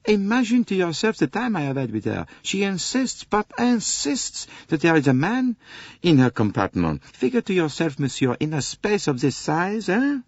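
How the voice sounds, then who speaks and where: A man's voice: high voice, big pitch swings, moderate pace, dry recording, lo-fi sound, voice pushed up, heavily acted. A man voicing an exasperated French-accented train conductor, dramatic and put-upon, in an audiobook.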